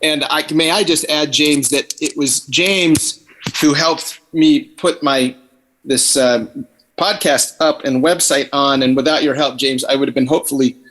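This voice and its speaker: A man's voice: a little high, steady tone, unhurried, a bit bright, low-quality recording. Wordy, thoughtful man on a video call. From Philippines. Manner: thoughtful, wordy, restarts often. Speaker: podcast guest. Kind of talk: casual conversation on a video call. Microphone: computer on a video call.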